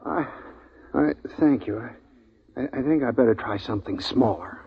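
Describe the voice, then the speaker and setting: An actor playing a meek, earnest, bookish man who longs for adventure, speaking haltingly in a 1950s radio drama. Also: mid-range voice, lively intonation, breathy edge, soft background music. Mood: unsure. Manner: hesitant, apologetic, halting. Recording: thin, phone-like 1950s radio recording.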